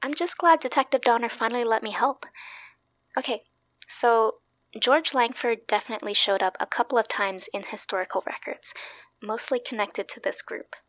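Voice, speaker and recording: A woman's voice: a little high, normal speed, fairly bright tone, no echo, close to an everyday voice, rough recording. A woman playing a keen, wry young researcher in a horror audio drama, explaining things casually. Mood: eager, wry.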